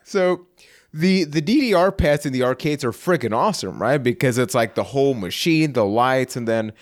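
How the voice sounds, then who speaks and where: A man from Puerto Rico with a Puerto Rican accent, co-hosting a casual podcast chat and telling animated stories. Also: medium pitch, moderate pace, no room sound, clean studio sound. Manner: animated storyteller, laughs often. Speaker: podcast co-host.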